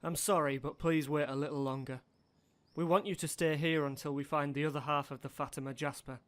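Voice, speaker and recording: Higher-voiced, courteous male military commander by an actor. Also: fairly even intonation, a little fast, fairly bright tone, close to an everyday voice. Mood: courteous, apologetic. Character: courteous military commander, apologetic toward his captive. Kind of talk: radio play acting, fan production.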